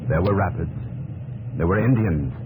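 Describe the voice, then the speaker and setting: A man's voice: sits low, moderate pace, narrow, tinny audio, background noise. A man narrating a 1950s radio drama in a solemn, portentous style with short, weighty phrases. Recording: old 1950s radio recording with music.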